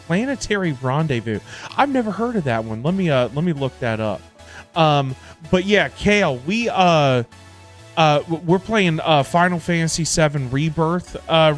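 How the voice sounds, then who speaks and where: A middle-aged American man hosting a casual gaming livestream, rambling and repeating himself in loose slang. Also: fairly high pitch. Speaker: livestream host, gamer. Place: indoor home streaming setup. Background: background music.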